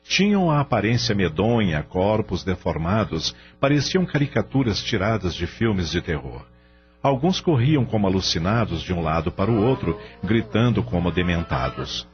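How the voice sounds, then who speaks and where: Low-voiced, expressive male drama narrator. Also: normal speed. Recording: dull sound under clear music bed.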